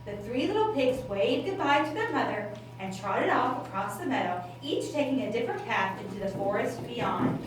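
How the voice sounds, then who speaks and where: A highish, lively woman's voice narrates a children's puppet show story. It comes from a distance in a very echoey room. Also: moderate pace, few pauses, a little hiss or hum. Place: library room.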